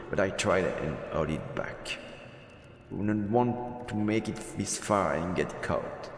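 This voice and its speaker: A man streaming a game, reading a visual novel's story narration aloud. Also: sits low. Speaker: let's play streamer. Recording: roomy reverberant sound, music behind.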